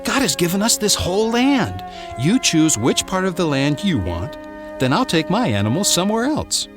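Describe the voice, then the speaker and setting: A man's voice: sits high, huge pitch swings, moderate pace, music in the background, pitched above the performer's own voice. A man voicing a kind, reasonable Bible patriarch in a children's story, earnest and conciliatory.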